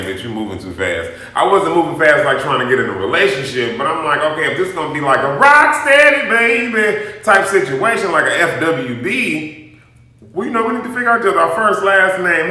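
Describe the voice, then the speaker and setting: A man telling a personal story to his viewers in a sassy, dramatic, animated vlogging style. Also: on the high side. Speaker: YouTube vlogger. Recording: very echoey, roomy sound.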